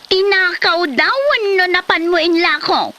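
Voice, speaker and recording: A woman acting as a fed-up, nagging Ilocano wife in a comedy drama. Her voice is extremely high and piercingly bright, with an Ilocano accent. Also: unhurried, highs cut off, exaggerated voice. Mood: angry, accusing. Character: exasperated, scolding wife of a lazy husband.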